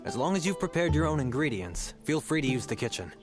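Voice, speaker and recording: A man's voice: a little high, sing-song, fast. A man voicing a polite, hospitable innkeeper in a video game. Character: courteous male innkeeper, polite. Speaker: game character. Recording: game audio under music.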